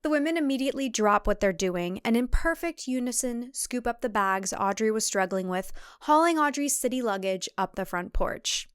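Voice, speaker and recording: A woman's voice: a little high, expressive, normal speed, few pauses, clear, resonant tone, dry recording, clean studio sound. A woman reading scripted comic thriller narration as an audiobook narrator.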